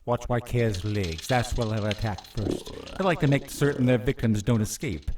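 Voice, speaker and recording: A man playing a cruel, scheming prince in a fantasy radio play, with a mocking, theatrically menacing and drawn-out delivery. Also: medium pitch, recorded from a distance, background noise, close to an everyday voice. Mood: sadistic relish. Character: cruel scheming prince, gloating usurper brother.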